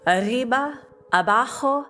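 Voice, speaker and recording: A woman's voice: on the high side, animated, unhurried, noisy background. A woman acting in an audio drama as a practical, dry-humoured woman who takes charge, in naturalistic dialogue. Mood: dry, resolute.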